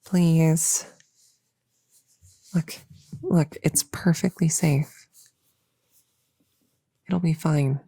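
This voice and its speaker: A woman acts out a young novice witch, eager but unsure, in an intimate audio roleplay. Her voice is mellow and softly creaky, with halting, reassuring phrases that often sink to a whisper. It is captured very close and dry. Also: deep voice, fairly even intonation, dry recording, heavily acted. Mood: pleading. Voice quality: soft, often dropping into whisper. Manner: halting, soft-spoken, hesitant and reassuring. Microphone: close-up mic in a dry, quiet space.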